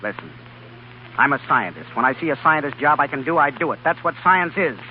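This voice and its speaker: A man's voice: fairly high pitch, lively intonation, brisk, slight background noise, natural voice. A man playing a cocky, eager young doctor and scientist in a 1930s radio drama, folksy and punchy. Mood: cocky, defiant. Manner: folksy, plain-spoken, punchy. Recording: muffled 1930s radio recording, crowd behind.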